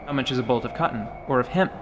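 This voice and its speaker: A man narrating a fantasy radio play in a measured, dramatic storytelling style with musing asides. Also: medium pitch, background noise. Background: soft music.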